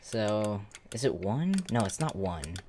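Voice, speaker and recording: A person giving casual gameplay commentary in a halting way with false starts, in a room at home. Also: mid-range voice, very animated, background noise. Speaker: streamer demonstrating a game.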